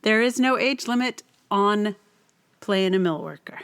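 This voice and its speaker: A woman's voice: medium pitch, a little slow, a bit bright, clear, resonant tone. A woman hosting a solo podcast in a casual, rambling way, often thinking aloud in unfinished phrases. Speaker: podcast host.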